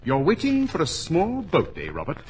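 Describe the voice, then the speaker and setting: A masterful gentleman detective with a widely swinging, animated delivery. He is clipped, exact and sure of himself, in the manner of 1940s radio drama. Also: on the high side, moderate pace, a little hiss or hum. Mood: cool, taunting. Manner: clipped, authoritative, precise. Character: brisk, commanding, self-assured gentleman detective.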